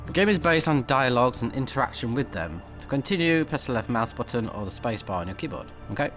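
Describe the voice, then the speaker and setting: A man's voice: mid-range voice, very animated, fast talker, over music. A man recording a let's play, switching between casual off-the-cuff commentary and reading game text aloud. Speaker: let's player, game streamer.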